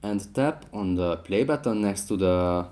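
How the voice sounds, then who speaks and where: A man with a fairly low voice presents a tech tutorial. He walks through an app step by step as an instructional narrator. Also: animated, a little slow, dark tone.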